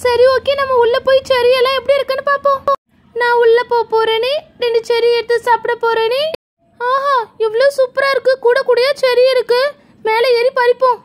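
A woman's voice: level tone, a little fast. A woman voicing a sneaky, jealous little girl who brags and taunts in a children's toy story. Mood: greedy delight. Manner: squeaky, sing-song bragging and taunting.

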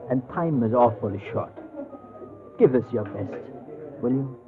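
A man's voice: mid-range voice, lively intonation, unhurried, music in the background, natural voice. A man playing a fussy, anxious, kindly old client in a vintage radio drama, hesitant and confiding. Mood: urgent, worried. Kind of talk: 1940s-50s radio drama dialogue. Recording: tinny, band-limited vintage radio recording.

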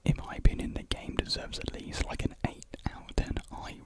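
A young man speaks entirely in a soft, airy whisper, right up against a condenser microphone in a small room. He rambles informally, as in a relaxed ASMR chat between friends. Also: fairly quick. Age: young adult. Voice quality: soft breathy unvoiced whisper. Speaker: ASMR creator. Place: small indoor room with painted block walls. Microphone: large desk condenser mic close to the mouth.

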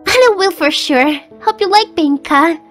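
An excitable, friendly teenage girl from a comic dub. Her voice is tiny and extremely high, bouncing eagerly up and down. Soft music plays underneath. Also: unhurried. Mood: cheerful. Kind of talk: animated comic dub acting. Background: faint music.

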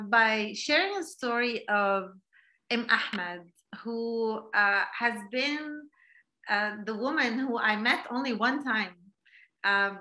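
Slow-talking woman on a video call. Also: on the high side, sharp tone, rough recording. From Palestine. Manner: reflective, many fillers and restarts. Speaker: guest speaker. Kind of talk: online talk. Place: home room, indoors. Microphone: laptop webcam video call.

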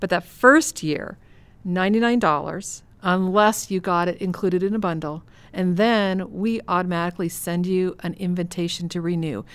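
A woman's voice: on the low side, normal speed, smooth. An American woman hosting a podcast, explaining details conversationally in a matter-of-fact, clarifying way. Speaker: podcast host.

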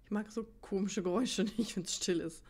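A woman's voice: mid-range voice, moderate pace, a little mellow, husky. A woman giving casual gameplay commentary, musing aloud half to herself. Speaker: let's play host.

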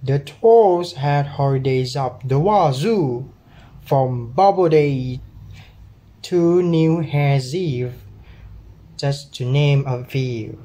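Very slow young storyteller with Thai accent. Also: medium pitch, warm tone, rough recording. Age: young adult. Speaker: student dubbing a cartoon. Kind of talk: scripted storybook narration.

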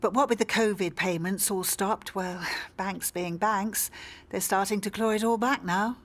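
A woman's voice: mid-range voice, fairly even intonation, a little slow, close to an everyday voice. An actress playing a polite, steely landlady in a radio soap, formal and coolly apologetic. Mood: businesslike. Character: polite but steely female landlord demanding back rent. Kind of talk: radio soap opera acting.

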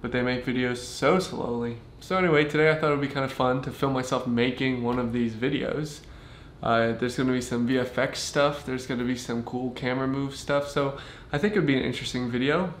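A man's voice: medium pitch, steady tone, fairly quick, a little hiss or hum. A young man chatting casually to camera as a filmmaker, rambling in a slangy, self-deprecating way. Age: young adult. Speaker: vlogger and filmmaker. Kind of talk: casual behind-the-scenes video chat. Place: small home kitchen and living room, indoors. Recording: roomy echo, off-mic, music behind.

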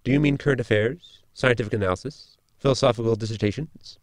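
An actor playing an articulate, bookish science officer in a sci-fi comedy audio drama, speaking formally and precisely. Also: male voice, medium pitch, fast talker, creaky, dry recording, studio quality, lightly acted. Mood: dry, polite. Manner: formal, precise, long-winded.

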